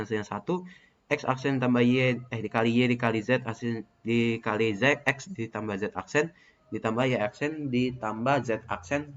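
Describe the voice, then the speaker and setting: A man's voice: mid-range voice, a little hiss or hum. A young man, a student, reading out truth-table results step by step in a methodical way with hesitant fillers. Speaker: student presenting a case study. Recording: muffled lo-fi recording.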